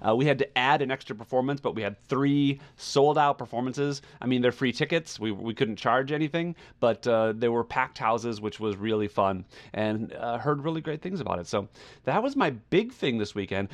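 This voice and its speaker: A man's voice: mid-range voice, animated, normal speed. A man hosting a podcast in a casual, chatty style, rambling as he goes. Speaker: podcast host.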